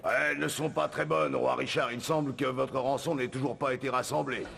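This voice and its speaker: Very gravelly cartoon royal attendant. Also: male voice, mid-range voice, fairly even intonation, a little fast, very noisy.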